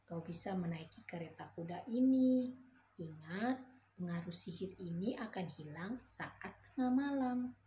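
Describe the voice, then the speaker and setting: A calm, kind fairy who speaks soothingly and reassuringly, as a woman storyteller puts her on. It is a storybook voice in an echoey room with faint noise behind it. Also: fairly low pitch, unhurried.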